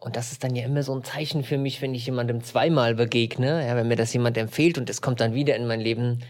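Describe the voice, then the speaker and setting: A man's voice: medium pitch, fairly even intonation, fast talker, darkish tone. An enthusiastic male podcast host speaks to his guests with effusive praise. He asks his questions eagerly and keeps things upbeat. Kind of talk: warm interview hosting. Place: castle over a lake.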